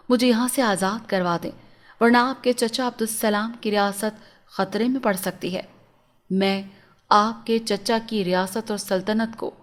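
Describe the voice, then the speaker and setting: A woman's voice: mid-range voice, close to an everyday voice. A woman voicing a young captive prince in a storybook tale, earnest and pleading, speaking formally and respectfully. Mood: urgent, earnest. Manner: formal, respectful, urgent address.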